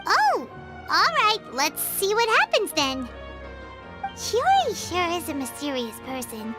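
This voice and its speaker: A woman voicing a small, excitable, chatty childlike fairy companion in a video game, in an exclamatory way. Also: very high voice, slow pace, loud music behind the voice, full character voice. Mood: curious, chatty. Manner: speaks of self in third person, exclamatory. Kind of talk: video game character dialogue.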